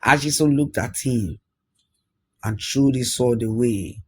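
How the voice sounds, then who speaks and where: A man's voice: medium pitch, slow pace, a little mellow, slightly rough. A man narrating a folktale as a storyteller, dramatic, repeating words for emphasis.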